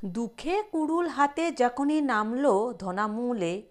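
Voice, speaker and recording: A woman narrates a literary short story in a high voice. Her reading moves slowly, giving each sentence room.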